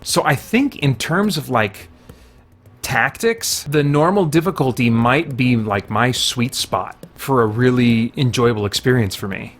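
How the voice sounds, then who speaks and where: A man's voice: medium pitch, lively intonation, a little slow, fairly bright tone, faint music behind. A man reviewing a video game, giving casual, conversational commentary and thinking aloud as he plays. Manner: conversational, thinking aloud, mild slangy exclamations.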